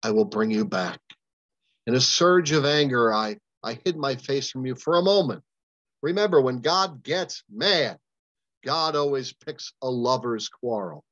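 An older man, a pastor leading a Bible study over a video call, preaching with emphatic, impassioned delivery and long pauses. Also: medium pitch, expressive, a bit bright, no echo. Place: home room, indoors. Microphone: webcam on a video call. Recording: dull lo-fi webcam audio, dry.

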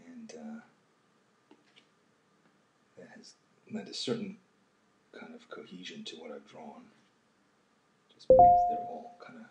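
Deep-voiced older man musing as he works. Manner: halting, thoughtful, often trailing off to a murmur. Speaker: host of a live drawing session. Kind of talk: unscripted casual talk while drawing. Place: home kitchen, indoors. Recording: roomy echo, faint background noise.

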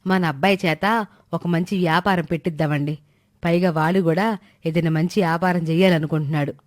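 Woman voicing a grumbling low-pitched older village mother. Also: steady tone, brisk, close to an everyday voice. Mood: pleading. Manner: scolding, colloquial rustic speech. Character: stern older mother, grumbling but soft-hearted village matriarch. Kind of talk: animated moral story dialogue.

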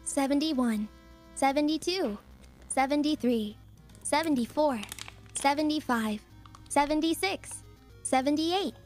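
A woman's voice: high voice, unhurried, pauses often, darkish tone, faint music behind. A woman chatting casually and delivering short voice lines as a performer.

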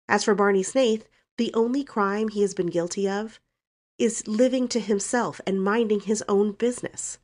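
Brazen young woman, voiced by a female reader, defiant, sharp-tongued, emphatic, storybook heroine. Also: mid-range voice, normal speed, pitched above the performer's own voice, natural voice. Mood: defiant, scornful. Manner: bold, emphatic.

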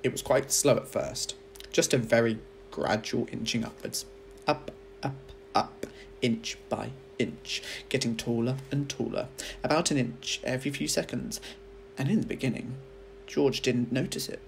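A man reading a children's story aloud like a teacher, with expressive narration. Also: mid-range voice, measured pace, vocal fry, a little hiss or hum.

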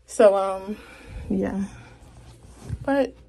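A young woman in her twenties rambles casually into a handheld phone, drifting through fillers. Her pace is slow and relaxed, her pitch sits in a middle range. The sound is close and informal, recorded in a small cabin. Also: gravelly, a little hiss or hum, rough recording. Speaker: vlogger. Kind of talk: casual vlog chat. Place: small cabin rental indoors. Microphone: phone held at arm's length.

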